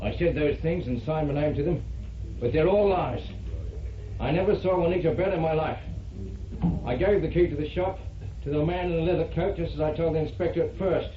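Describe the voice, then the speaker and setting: A man's voice: fairly high pitch, a little fast, slight background noise, close to an everyday voice. Guy acting accused man pleading his case, old radio drama, echoey, muffled. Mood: firm denial. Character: earnest accused man in the dock, defending himself.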